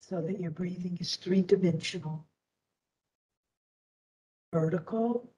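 A woman teacher leading a guided meditation in a soothing, deliberate way, leaving long pauses. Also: on the low side, dark tone. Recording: distant microphone.

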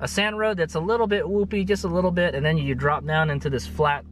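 A man's voice: fairly high pitch, steady tone, quick pace, fairly bright tone, slight background noise. A man in his thirties giving a casual, rambling trip recap to camera from inside a vehicle, chuckling often and tagging phrases with "okay". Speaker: motovlogger.

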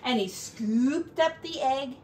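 A middle-aged woman, a teacher reading a picture book to children in an expressive, deliberate way, recorded indoors from a few feet away. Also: sits high. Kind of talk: animated children's storybook read-aloud. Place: small home room, indoors. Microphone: camera a few feet away. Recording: distant camera mic, faint background noise.

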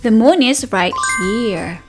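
A woman's voice: a little high, animated, slow. A woman reads a picture book aloud to toddlers as a teacher, in a sing-song, exaggerated manner, repeating words and asking questions. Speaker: children's read-aloud teacher. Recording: voice over background music. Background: music.

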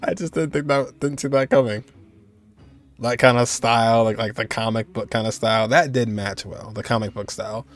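A man's voice: mid-range voice, steady tone, normal speed. A man in his twenties giving casual, off-the-cuff reaction commentary in a hesitant, noncommittal way. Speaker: reaction video host.

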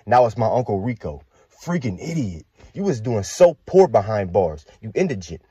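Give this a young American man rapping battle verses with no beat. His voice is very husky and rough, and his pitch swings in a lively way as he taunts and boasts. The sound is dry and close. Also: moderate pace, no room sound, dull audio. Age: young adult. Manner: aggressive, boastful, taunting delivery. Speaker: battle rapper. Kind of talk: a cappella battle rap verses.

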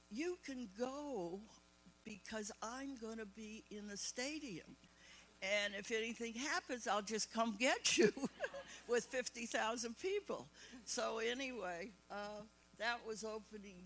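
A woman's voice: mid-range voice, fairly bright tone, slight background noise, rough recording. An older woman telling a long personal anecdote to a panel audience, chatty and often breaking into laughter. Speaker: panel guest. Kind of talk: storytelling anecdote.

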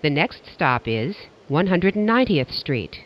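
Dull-sounding higher-voiced male transit announcer. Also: big pitch swings, measured pace. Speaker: train announcer.

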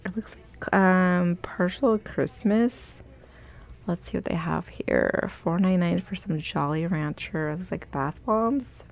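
Woman, low gravelly voice, casual shopping vlog chatter, upbeat, phone-recorded in a store aisle. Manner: chatty, upbeat, reads out prices. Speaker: shopping vlogger. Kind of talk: casual shop-with-me narration. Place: inside a large retail store.

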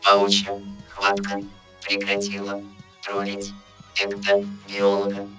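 Slow, monotone, solemn announcer done by a man. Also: fairly low pitch, soft background music. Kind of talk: scripted narration.

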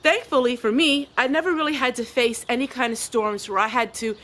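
A middle-aged woman with a fairly high voice speaks to her camera outdoors. She explains things in a casual, earnest vlog style, leaning on key phrases and repeating them for emphasis. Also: steady tone, fairly quick, a bit bright. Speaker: homesteading vlogger and host. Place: outdoors on a deck and yard of a rural home.

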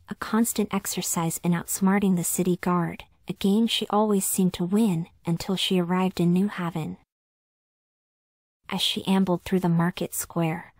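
A woman narrating a scripted ASMR story in a soft, whispered delivery. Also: lowish voice, dry recording, clean studio sound.

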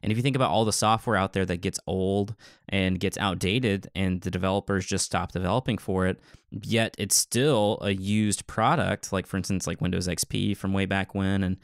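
A man's voice: deep voice, steady tone, moderate pace, no room sound, studio quality. A man co-hosting a podcast in casual tech discussion, talking in a rambling, opinionated way. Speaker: podcast co-host and web developer.

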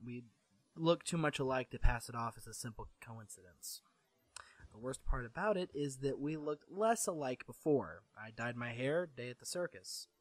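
A sarcastic young man with French blood, mock-grand and guarded, cursing in French when annoyed, as a man reads him. His voice leaps up and down in pitch. It sounds dry and close to the mic. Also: lively intonation, normal speed, no echo, lightly acted. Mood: wry.

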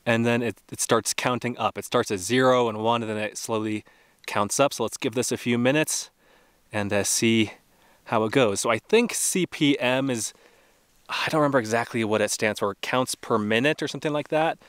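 A young man talks through an outdoor camping vlog in a relaxed, thinking-aloud way, with a laugh slipping in now and then. His mid-pitched voice is smooth and clear. The sound is close and dry, with no room around it. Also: moderate pace, no echo. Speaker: travel vlogger. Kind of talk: casual outdoor vlog narration. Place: outdoors at a remote test site.

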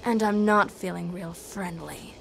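A woman playing a cocky, sardonic half-vampire heroine in a video game, with wry deadpan taunts. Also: on the low side, measured pace, creaky, a little hiss or hum, low-quality recording. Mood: cocky, threatening. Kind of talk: video game cutscene acting.

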